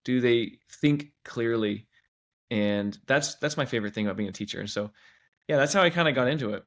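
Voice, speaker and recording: A man's voice: mid-range voice, fairly quick. A man in his thirties from Washington State, a teacher answering interview questions earnestly and with many restarts. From Washington State, USA. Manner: earnest, many restarts and "you know" asides. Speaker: teacher and guest.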